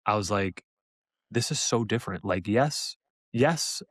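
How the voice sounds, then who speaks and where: A man's voice: lowish voice, expressive, unhurried, a bit bright, dry recording. Man chatting on a dry-sounding podcast. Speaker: podcast co-host. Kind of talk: casual review discussion.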